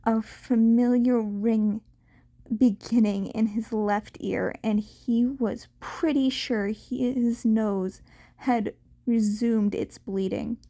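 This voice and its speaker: A woman reading scripted story narration as an audiobook narrator, slightly halting in her delivery. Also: fairly high pitch, fairly even intonation, mellow.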